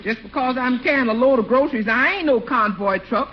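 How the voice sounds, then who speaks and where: An actress playing a put-upon, stubborn household cook who complains folksily in a 1940s radio comedy. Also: female voice, on the high side, a little fast, natural voice. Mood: stubborn, indignant. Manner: folksy, complaining, emphatic repetition. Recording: dull, noisy 1940s radio recording.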